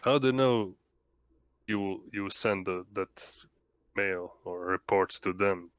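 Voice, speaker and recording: A man's voice: low-pitched, slow, clear, resonant tone, no room sound. A man roleplaying in a tabletop game, speaking tersely and hesitantly, searching for his words.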